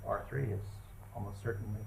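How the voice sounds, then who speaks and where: Gravelly low-voiced man asking from the audience. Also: fairly even intonation, a little fast, echoey room, a little hiss or hum. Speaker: audience member. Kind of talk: discussion question. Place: seminar room.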